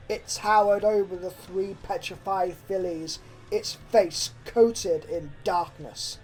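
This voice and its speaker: A woman reading a horror story aloud as dramatic, expressive narration with a suspenseful delivery. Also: lowish voice, low-quality recording. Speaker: fan fiction narrator. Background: background music.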